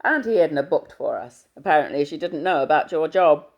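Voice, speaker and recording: A woman reading aloud and voicing a cheerful middle-aged father, with a jovial, brisk and booming manner. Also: expressive, dull audio. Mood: hearty.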